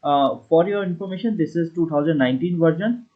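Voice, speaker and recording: A man giving a casual step-by-step software tutorial as an instructor. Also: on the high side, a little fast. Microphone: voice-over for screen recording. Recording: dull lo-fi voice recording.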